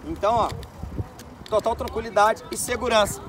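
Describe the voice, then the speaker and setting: A man casually demonstrating electrical connectors at an outdoor event, pitching their safety and quality like a salesman. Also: fairly even intonation, normal speed, recorded from a distance, noisy background.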